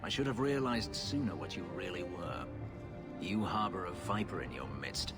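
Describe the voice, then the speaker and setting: An actor playing a brooding, bitter fugitive slave warrior in a video game, with grave, formal, measured speech. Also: male voice, deep voice, animated, over loud music.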